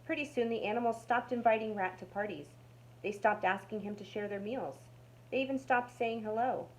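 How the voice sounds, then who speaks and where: A woman's voice: on the high side, moderate pace, a little hiss or hum, rough recording. A woman narrating a children's storybook aloud as a storytime reader.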